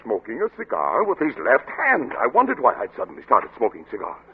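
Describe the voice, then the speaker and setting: A man acting an obsessed, agitated scientist in a 1940s radio suspense play, with intense, halting delivery and a gravelly voice. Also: medium pitch, huge pitch swings, full character voice. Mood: excited, feverish. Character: obsessed, agitated scientist doctor husband turning slyly deceitful. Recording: noisy, narrow-band old radio recording.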